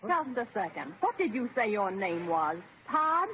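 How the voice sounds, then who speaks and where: An actress playing a haughty, brisk society matron with a sharp manner, in old-time radio comedy style. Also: female voice, mid-range voice, sing-song. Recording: tinny, noisy old radio broadcast.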